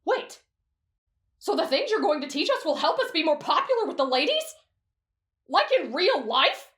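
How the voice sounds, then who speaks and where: A woman voicing an excitable, whiny teenage boy who chases girls. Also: moderate pace. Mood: excited. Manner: shrill outbursts. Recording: roomy echo.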